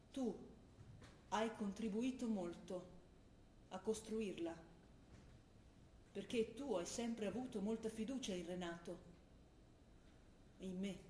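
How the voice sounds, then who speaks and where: A woman's voice: a little high, close to an everyday voice. An actress playing an earnest young revolutionary woman, in a stage play. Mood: tender. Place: theatre stage. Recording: echoey stage sound with faint noise.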